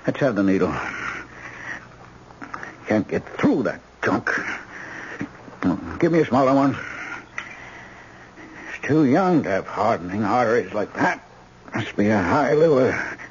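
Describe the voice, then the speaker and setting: An actor playing a brisk, authoritative male emergency-room doctor in an old-time radio drama, giving clipped orders. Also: fairly low pitch, sing-song. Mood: urgent, focused. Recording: dull lo-fi radio recording, faint noise.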